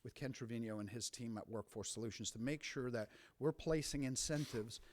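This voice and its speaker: A man's voice: mid-range voice, brisk. Conversational man answering a council. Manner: conversational, animated, frequent restarts. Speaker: city official answering council. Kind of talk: formal meeting remarks. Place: council chamber.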